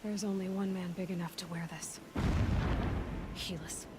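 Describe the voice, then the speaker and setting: An actress playing a determined, inquisitive young hunter in a video game, with a direct manner. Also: female voice, lowish voice, steady tone, normal speed, close to an everyday voice. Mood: hushed wonder. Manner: direct, inquisitive, muttering to herself. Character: Aloy, determined, questioning young female hunter. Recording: noisy game ambience behind voice.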